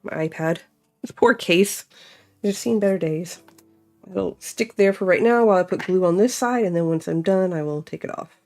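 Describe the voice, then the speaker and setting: Chatty lower-voiced woman crafting. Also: moderate pace, a little mellow, soft background music. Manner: chatty, thinks aloud, many fillers like "like". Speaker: crafting vlogger. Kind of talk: casual chat while crafting.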